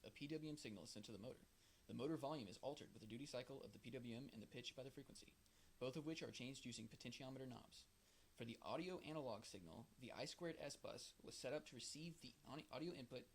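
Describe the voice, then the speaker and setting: A young man, an engineering student, reading out a scripted project presentation. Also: mid-range voice. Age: young adult. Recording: clear background noise.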